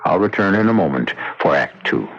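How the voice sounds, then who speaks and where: A man hosting a radio drama with deliberate, portentous scripted narration. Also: sits low, lively intonation, creaky, muffled recording. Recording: noisy, band-limited old radio recording.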